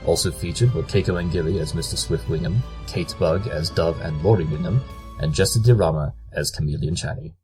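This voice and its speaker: A man's voice: low voice, fairly quick, music in the background. A man reading scripted closing credits as an announcer for an audio drama.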